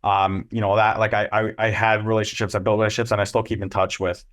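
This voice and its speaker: A man's voice: on the low side, little pitch movement, quick pace, fairly bright tone. A young man from Israel, answering interview questions casually and loosely, with many ums and restarts. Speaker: agency co-founder and CEO.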